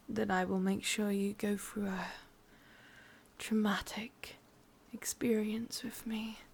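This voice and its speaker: Casting: actress as possessive yandere witch for ASMR roleplay, English accent, breathy and husky, unhurried, quietly menacing. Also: mid-range voice. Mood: cold menace. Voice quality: breathy whisper. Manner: slow, deliberate, softly menacing. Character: sadistic, lovelorn, ancient yandere witch, commanding and possessive.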